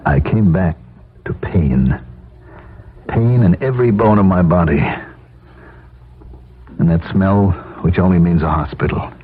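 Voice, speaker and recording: An American man acting a bitter, despairing middle-aged husband who is out of work, in a halting, anguished 1970s radio drama style. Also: sits low, slightly rough. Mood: bleak narration. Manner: halting, anguished, self-pitying. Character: despairing, bitter 48-year-old unemployed husband. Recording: tinny, noisy 1970s radio broadcast.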